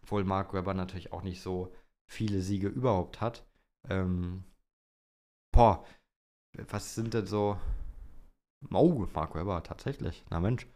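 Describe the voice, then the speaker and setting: A man's voice: low voice, a little slow, pauses often, a little mellow. A young man co-hosting a podcast, talking informally and rambling as he thinks aloud. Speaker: podcast co-host. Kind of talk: casual podcast chat.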